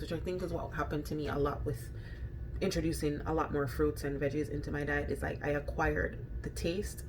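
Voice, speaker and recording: A woman's voice: sits low, a little hiss or hum. A woman from Jamaica with a Jamaican accent, chatting casually to camera as a food vlogger, rambling with frequent ums and restarts.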